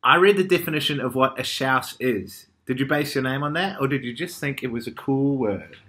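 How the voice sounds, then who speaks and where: A man in his thirties with a New Zealand accent, a musician guest reading out questions plainly and chatting in a relaxed way, recorded indoors by a window on a camera at arm's length. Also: mid-range voice, fairly quick. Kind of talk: casual chat.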